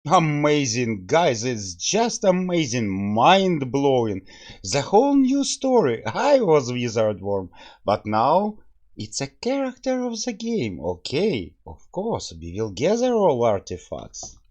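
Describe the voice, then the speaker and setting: A man hosts a casual game playthrough with open enthusiasm and plenty of exclamations. His pitch leaps all over the place while he takes his time with the words. The voice has a slightly husky grain. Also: huge pitch swings, slow pace, darkish tone. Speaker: host reviewing a game. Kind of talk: casual gameplay commentary.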